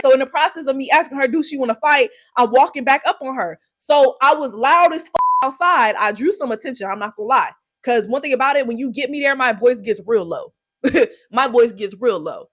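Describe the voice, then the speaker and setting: A young woman with telling a storytime vlog, brash and profane, loudly acting out the quoted lines. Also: high voice. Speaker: YouTuber.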